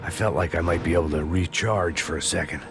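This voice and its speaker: A hard-bitten, middle-aged American antihero in a video game. His voice is extremely low and he narrates with bitter, deadpan self-mockery. Loud music plays underneath. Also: fairly quick. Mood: weary, self-mocking. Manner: world-weary, sardonic, deadpan. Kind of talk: scripted noir voice-over narration.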